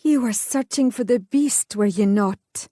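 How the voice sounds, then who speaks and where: A woman's voice: on the high side, a little slow, warm tone, dry recording, studio quality, pitched above the performer's own voice. A woman voicing a protective, stubborn young noblewoman who speaks firmly in old-fashioned phrasing. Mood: insistent.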